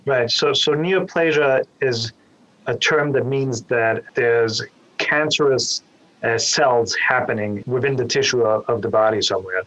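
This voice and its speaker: A man's voice: mid-range voice, a little slow, fairly bright tone, rough recording. Austrian-born man explaining carefully. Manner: careful, repeats small words when starting a point. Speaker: guest surgeon. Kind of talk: explanatory interview answers.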